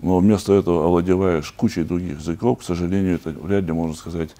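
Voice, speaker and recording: Deep, mellow-voiced earnest man. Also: steady tone, fast. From Chechnya. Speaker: podcast guest. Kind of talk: conversational podcast discussion.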